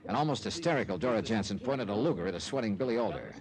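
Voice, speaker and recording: Animated hard-boiled radio investigator. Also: male voice, lowish voice, rapid-fire, crowd noise. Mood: tense narrating. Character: tough, wry insurance investigator telling his case. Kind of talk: 1950s radio drama narration and acting. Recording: old-time radio recording.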